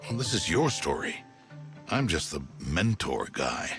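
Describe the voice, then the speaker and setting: An actor playing a proud, fatherly mentor in an animated video game, earnest and tender with a gently comic self-awareness. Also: deep voice, measured pace, over music, full character voice.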